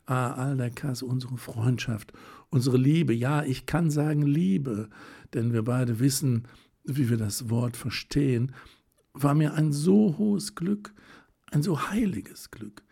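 A man's voice: mid-range voice, gravelly, slightly rough, pitched above the performer's own voice. A man voicing a fervent, rapturous baroness. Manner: breathless, hurried and rapturous. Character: fervent, ecstatic aristocratic lady, a baroness. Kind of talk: audiobook reading.

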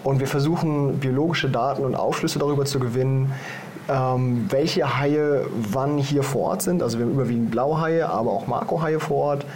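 A man's voice: mid-range voice, steady tone, normal speed, darkish tone, slight background noise. A young man from Germany giving casual interview answers, explaining things informally step by step. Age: young adult. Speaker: podcast guest, marine biologist.

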